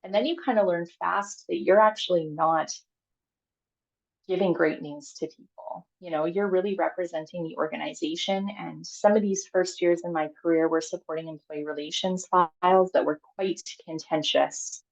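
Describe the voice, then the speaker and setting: Low, gravelly woman telling her story. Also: fairly even intonation, normal speed, poor audio. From Canada. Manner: candid, chatty, many fillers and restarts. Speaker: guest speaker. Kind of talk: personal talk on a video call.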